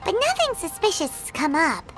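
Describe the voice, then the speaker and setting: A woman's voice: very high voice, wildly swooping pitch, moderate pace, a bit bright, soft background music, exaggerated voice. A woman voicing a tiny, chirpy and nosy female fairy companion in a video game, who talks about herself in the third person. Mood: curious.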